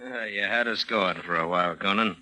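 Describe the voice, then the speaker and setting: An actor playing a wry, suspicious police lieutenant with a needling, casual manner in a 1940s radio drama. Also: male voice, on the low side, a little fast, sharp tone.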